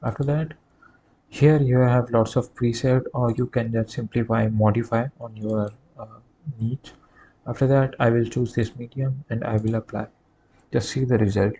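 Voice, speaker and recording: A man giving a halting, hesitant step-by-step software tutorial over a screen recording. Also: mid-range voice, fairly even intonation. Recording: clear background noise.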